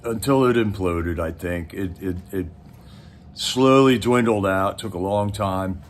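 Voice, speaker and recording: Low-voiced man chatting on a livestream. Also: unhurried, slight background noise. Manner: rambling, folksy, addresses viewers directly. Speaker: livestream host. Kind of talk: casual livestream chat and commentary.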